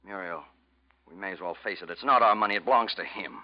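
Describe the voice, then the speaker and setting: An actor playing an anxious, wavering husband in a 1940s radio noir drama, hesitant and halting in his speech. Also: sits low, lightly acted. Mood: uneasy, torn. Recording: dull old radio recording.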